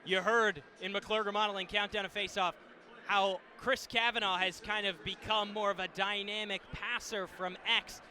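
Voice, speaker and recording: A man's voice: sits high, normal speed. An American man giving live sports analysis on the radio in an emphatic, explanatory way. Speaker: radio color commentator. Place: indoor stadium broadcast position. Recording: live radio with steady venue noise.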